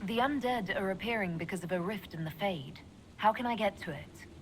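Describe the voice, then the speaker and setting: A woman plays a sharp, cold elf mage who commands an order in a fantasy game. She sounds curt and authoritative at an even mid pitch. Rain and thunder roll clearly behind her. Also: a little fast, slight background noise, close to an everyday voice. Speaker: Inquisitor, player character.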